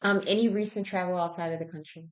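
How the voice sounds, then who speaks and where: A woman's voice: fairly low pitch, fairly even intonation, quick pace. A woman working as a healthcare intake interviewer, asking health history questions conversationally and methodically, checking each answer.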